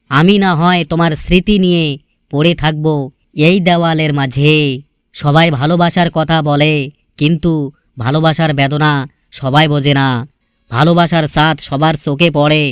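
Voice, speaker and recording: A man's voice: on the high side, fairly even intonation, normal speed, pauses often, fairly bright tone, clear, resonant tone, no echo, studio quality. A man reciting sentimental scripted lines about love in an earnest, melancholy, poetic manner.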